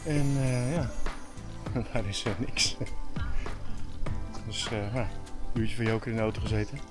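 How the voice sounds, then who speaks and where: A man's voice: lowish voice, moderate pace, over music. A man in his fifties vlogging outdoors to a handheld camera, chatty and hesitant with many fillers. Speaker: sailing vlogger. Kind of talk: casual vlog to camera. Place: outdoors, tropical village. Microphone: handheld camera at arm's length, selfie.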